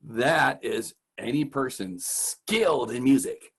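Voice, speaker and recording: A man's voice: mid-range voice, sing-song, slow pace, gravelly, fairly bright tone, slightly rough. A man hosting a casual vocabulary lesson as a teacher, chatty and jokey. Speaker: host, music teacher.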